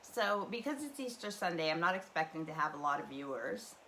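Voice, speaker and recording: A chatty woman with a low voice, rambling casually through her home livestream. She wanders from thought to thought with plenty of fillers and restarts. A faint hum of ambient noise sits behind her webcam mic. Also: big pitch swings, a little fast, sharp tone, poor audio. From Canadian prairies. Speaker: livestream host.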